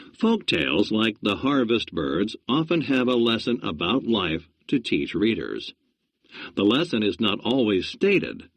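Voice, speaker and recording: A man's voice: lowish voice, no room sound. A man narrating a reading lesson for young students in an instructive tone.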